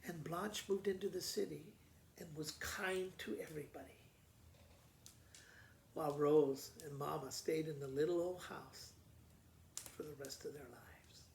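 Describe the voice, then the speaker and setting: A man's voice: a little high, fairly even intonation, unhurried, creaky, pauses often, a little mellow. A man telling a children's story in a simple, conversational way, informally explaining it as he goes. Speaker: school principal reading to pupils. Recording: roomy echo, faint background noise.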